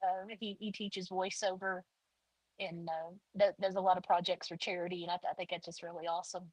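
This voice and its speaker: A woman's voice: fairly low pitch, level tone, brisk, darkish tone, no echo, rough recording. A woman in her forties, an author being interviewed, talking casually with many fillers and restarts. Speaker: author guest.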